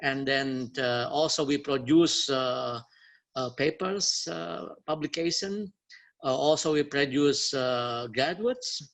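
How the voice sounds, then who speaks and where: A man with an Indonesian accent giving an academic webinar presentation as a researcher, speaking haltingly and repeating words. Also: mid-range voice, creaky, a bit bright.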